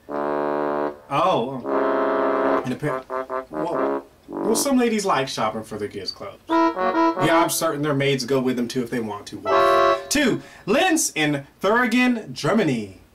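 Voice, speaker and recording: A middle-aged man hosts a homemade question and answer show from a curtained room, his voice somewhat high for a man and full of excitable interjections. He sits back from the webcam, so he sounds a little distant. Loud guitar music plays under everything he says. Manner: playful and excitable, reacting aloud to an unseen guest with many "oh"s and laughs. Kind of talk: animated comedic Q&A chat. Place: indoor room before a pale curtain.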